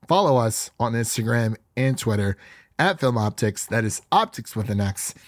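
A man hosting a podcast in casual chat, his voice raspy and stuffy from allergies, rambling with restarts. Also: medium pitch, animated, moderate pace, no room sound, clean studio sound. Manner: chatty, rambling with frequent restarts. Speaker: podcast host.

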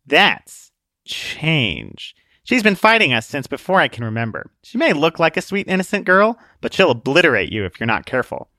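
A man's voice: a little high, moderate pace, no room sound, clean studio sound, voice pushed up, heavily acted. A man voicing a pushy, sarcastic young female sniper in a storybook reading. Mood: brash. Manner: bossy, sarcastic, excitable.

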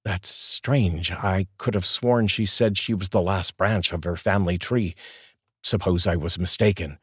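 A man's voice: sits low, a little slow, breathy edge, dry recording, clean studio sound, exaggerated voice. A man voicing a mild, polite father. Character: father moving into a new house.

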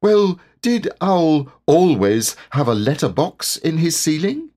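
A man acts out a small, anxious piglet from a children's storybook. The voice sits high and swings around in pitch, with a nervous, timid manner. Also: sing-song, slow pace, darkish tone.

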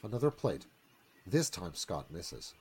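A man's voice: low-pitched, big pitch swings, measured pace, breathy edge. A man narrating a screenplay dramatically in a live radio theatre reading.

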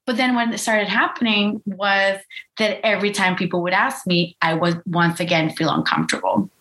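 A woman's voice: medium pitch, normal speed, fairly bright tone, low-quality recording. A young woman from Costa Rica telling a personal story in a reflective way, with many fillers and restarts.

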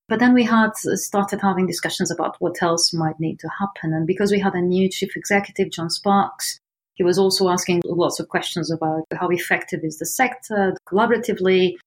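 A woman from Portugal with a Portuguese accent, answering interview questions in an earnest, measured way. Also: on the low side, low-quality recording. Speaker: founder of a homelessness research organization.

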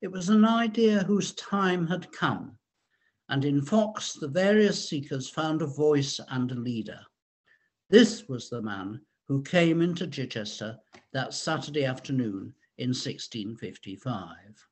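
A man reading a scripted history talk in a measured, explanatory way. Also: medium pitch, expressive, husky. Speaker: researcher and reader of a local history talk.

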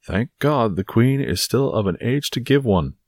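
A man's voice: mid-range voice, expressive, normal speed, a little mellow, breathy edge, no room sound, studio quality, voice pushed up. A man voicing a boastful, self-satisfied musketeer in an audiobook adventure story, exclaiming theatrically. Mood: reproving. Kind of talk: dramatised audiobook reading of a classic adventure novel.

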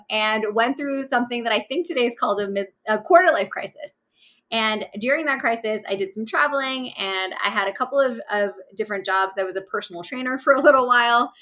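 Bright-voiced woman telling her career story. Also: mid-range voice. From Pakistan. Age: 30s. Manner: warm, candid, with light laughs and fillers. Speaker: interview guest, manager trainer.

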